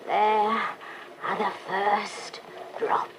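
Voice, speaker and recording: An actress playing a mournful, brooding old Irish wife in a radio play, with halting, drawn-out phrases and long pauses. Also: female voice, mid-range voice, level tone. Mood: mournful, wistful. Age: older. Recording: noisy old cassette of radio broadcast.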